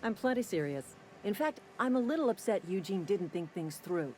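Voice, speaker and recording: A woman playing a gruff, jaded company-town worker, curt and deadpan, in a video game. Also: medium pitch, moderate pace, slight background noise, lightly acted. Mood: deadpan, cynical. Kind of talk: video game dialogue acting.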